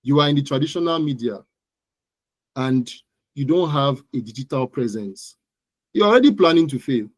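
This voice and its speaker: A man's voice: mid-range voice, expressive, normal speed, long pauses, dry recording. A man with a Nigerian accent giving interview answers as an expert guest, explaining things emphatically and often repeating words and phrases. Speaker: guest digital marketing expert.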